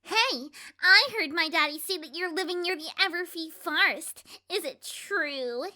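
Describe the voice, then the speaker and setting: Mean, snobby cartoon schoolgirl pony: shrill, slow, sneering taunts. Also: sharp tone, exaggerated voice. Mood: mocking.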